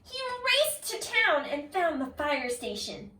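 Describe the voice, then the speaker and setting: A woman reading a picture book aloud like a kindergarten teacher, expressively, pausing to put questions to young listeners. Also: high voice, huge pitch swings, pushed and bright, a little hiss or hum. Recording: roomy echo, distant from the mic.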